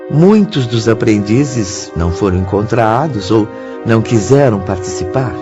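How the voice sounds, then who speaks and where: An actor narrating a radio novel in a composed, formal and measured way. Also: male voice, lowish voice, animated. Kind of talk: scripted dramatic narration. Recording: dull lo-fi audio under loud music.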